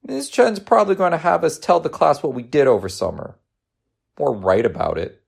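A man's voice: on the high side, animated, normal speed, creaky, husky, voice pushed up, full character voice. A man voicing a glum, anxious young schoolboy in a storybook reading, hesitant and sulky. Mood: glum, worried. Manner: hesitant, downcast, protesting.

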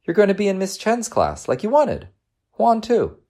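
Man voicing a gentle mom, lively swooping lilt. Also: high voice, moderate pace, pitched above the performer's own voice. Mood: concerned, cheerful. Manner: coaxing, affectionate, reassuring. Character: gentle, teasing, encouraging working mother.

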